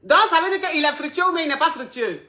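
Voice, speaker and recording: Woman host, high bright voice, blunt advice, repeats herself, says ma chérie. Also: animated, fast talker, a little hiss or hum. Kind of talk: live call-in advice chat.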